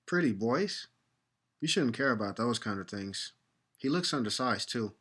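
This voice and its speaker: A man playing a kindly, easygoing father in an audio drama, patient and gently questioning. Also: mid-range voice, expressive, moderate pace, breathy edge.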